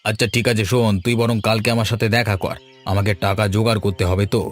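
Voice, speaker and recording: A man's voice: lowish voice, level tone, quick pace, faint music behind, close to an everyday voice. A man voicing a shifty, irritable married villager in a cartoon, blustering and dismissive. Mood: evasive, scheming. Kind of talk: cartoon dialogue acting.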